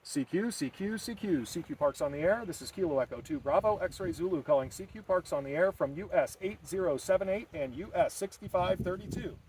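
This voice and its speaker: A man working a ham radio from a park, calling out call signs in crisp radio phrasing with friendly sign-offs, recorded outdoors. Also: sits high, animated, fairly quick, few pauses, recorded from a distance. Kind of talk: calling out over the air. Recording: noisy outdoor recording from off-mic.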